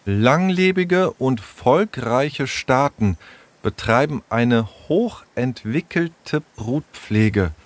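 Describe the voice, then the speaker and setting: A man's voice: mid-range voice, expressive, very slow, dry recording, voice pushed up. A man voicing a dreamy, earnest young boy fascinated by insects in a storybook reading. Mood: absorbed. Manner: absorbed, earnest. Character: dreamy young boy Tom, ant-obsessed reader.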